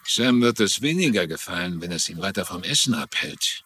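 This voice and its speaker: Grumpy sardonic town doc, swingy pitch, sharp voice, tv drama. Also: male voice, expressive, a little fast, breathy edge, full character voice. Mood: grumbling. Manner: dry, needling, blunt. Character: crusty, sardonic small-town doctor. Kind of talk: dubbed TV drama dialogue in German.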